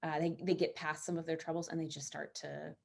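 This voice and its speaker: Lower-voiced woman chatting casually. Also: little pitch movement, a little fast, creaky, darkish tone, husky. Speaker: actress. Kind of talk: casual panel talk about a role.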